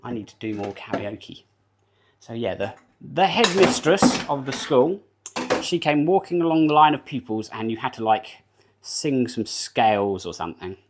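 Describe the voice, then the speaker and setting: A man's voice: mid-range voice, very animated, moderate pace. A British man streaming live from his home kitchen while baking, rambling casually, laughing often and answering his chat. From United Kingdom.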